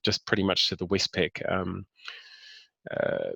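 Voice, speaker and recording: A New Zealand man in his fifties with a very low voice, answering interview questions in a relaxed, halting way. He fills his answers with ums and restarts as he gathers his thoughts. The sound is close and dry. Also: vocal fry, breathy edge, no room sound. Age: 50s. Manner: hesitant, many fillers and restarts. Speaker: interview guest. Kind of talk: casual interview talk.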